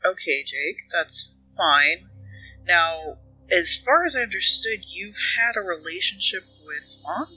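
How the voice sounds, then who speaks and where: A woman playing a businesslike detective in a tabletop game, questioning in a measured, probing way. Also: lowish voice, expressive, pushed and bright, husky, full character voice, poor audio. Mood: probing, calm.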